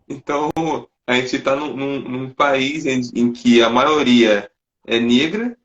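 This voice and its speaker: A man's voice: mid-range voice, slow, a bit bright, lo-fi sound. A Brazilian man hosting an interview, talking in a reflective, conversational way. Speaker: host. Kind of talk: interview podcast.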